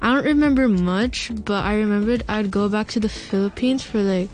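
A woman's voice: medium pitch, a little fast, darkish tone. Chatty teen girl, lots of fillers. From Hong Kong. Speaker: student guest. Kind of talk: casual storytelling.

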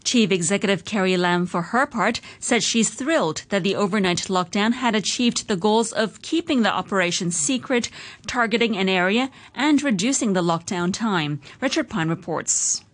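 A woman reads the radio news bulletin in a measured, steady delivery, pitched in the middle for a woman. She runs through the headlines like a practiced anchor. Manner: measured, even newsreader delivery. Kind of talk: scripted radio news reading.

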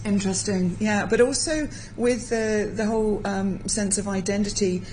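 A woman's voice: mid-range voice, moderate pace, a little mellow, slight background noise, low-quality recording. A woman interviewing a guest, curious and asking probing follow-up questions. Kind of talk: conversational interview.

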